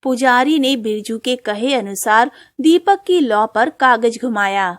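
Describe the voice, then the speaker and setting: A woman narrating a scripted animated folk tale as its storyteller. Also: on the high side, measured pace, no room sound, studio quality.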